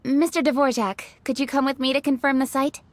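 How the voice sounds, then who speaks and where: An actress playing a composed, decisive young female official in a video game, speaking in a brisk, formal, articulate manner. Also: high voice, steady tone, heavy background noise, lightly acted. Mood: businesslike.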